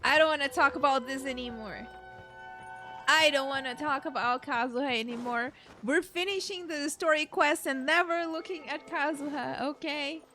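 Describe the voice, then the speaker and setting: A woman's voice: high voice, bright, forceful tone. A woman streamer giving casual, playfully dramatic game reactions to her chat, often repeating phrases. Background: game music.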